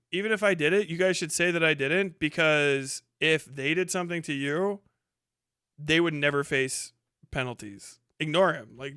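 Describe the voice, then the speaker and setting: A man livestreaming casual, profane commentary and reacting to chat, animated and sarcastic as he slips into imagined quotes. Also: a little high, clear, resonant tone, dry recording, clean studio sound. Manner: profane, slangy. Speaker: live streamer.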